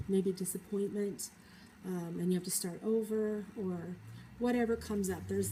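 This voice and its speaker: A woman with a cold, talking through her feelings in a thoughtful, hesitant personal vlog monologue with many pauses. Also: lowish voice, mellow, slight background noise, rough recording. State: has a cold. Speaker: vlogger.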